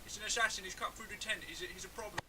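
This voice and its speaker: A man's voice: high voice, fairly even intonation, very fast, close to an everyday voice. A man playing a panicky, exasperated friend in a comic skit, stammering urgent, repeated warnings. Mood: comic alarm. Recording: very noisy, distant microphone.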